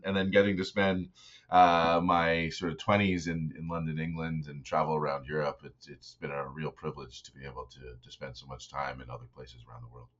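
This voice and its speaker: A man from Saskatchewan, an interview guest, talking in a relaxed, reflective way with many fillers. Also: deep voice. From Saskatchewan, Canada. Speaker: guest, startup investor. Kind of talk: casual interview conversation. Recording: dull, lo-fi remote audio.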